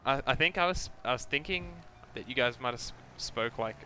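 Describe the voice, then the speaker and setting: A man's voice: mid-range voice, expressive, brisk, bright, forceful tone. A man streaming a game live, chatting casually in a rambling, hesitant way as he thinks aloud. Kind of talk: casual chat while gaming, reading out chat. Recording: game battle noise behind voice. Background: game artillery fire and vehicle engine sounds.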